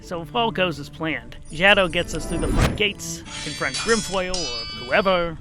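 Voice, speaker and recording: A brooding old gray wolf hero, acted out by a male narrator in a comic fantasy audiobook. He grumbles dramatically and sounds weary of his own quest. The voice sits a little high for a man, with music playing clearly behind it. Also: animated, a little slow. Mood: grim planning.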